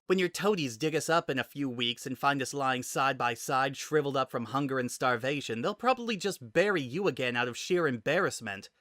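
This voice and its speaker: Man putting on a higher-pitched, scornful woman hunter. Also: fairly quick, few pauses, a bit bright, no echo, studio quality, natural voice. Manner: biting, sarcastic, sneering. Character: defiant, scornful woman bounty hunter trapped in armor. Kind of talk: dramatic reading of a sci-fi story.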